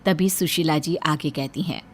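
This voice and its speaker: A woman's voice: deep voice, moderate pace. A woman narrator reading a scripted family story.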